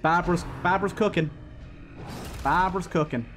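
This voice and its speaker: A man's voice: sits high, moderate pace, fairly bright tone. A man hosting a let's play, giving running commentary on a game. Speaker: gaming video host. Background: game music.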